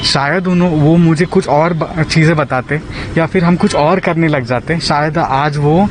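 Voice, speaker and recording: A man with an Indian accent recounting events on a recorded phone call, halting and slipping into English. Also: fairly high pitch, background noise.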